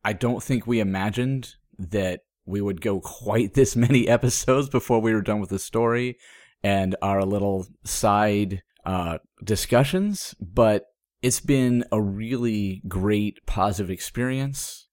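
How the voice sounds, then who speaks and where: A man's voice: fairly low pitch, measured pace, darkish tone, no echo, clean studio sound. A man co-hosting a podcast, a little stuffed up and under the weather, talking thoughtfully with fillers and small laughs. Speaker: podcast co-host. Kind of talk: casual chat.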